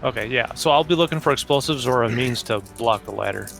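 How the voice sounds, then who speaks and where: Male player, creaky mid-pitched voice, casual game-table talk, faint music in the background. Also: fast talker, a bit bright.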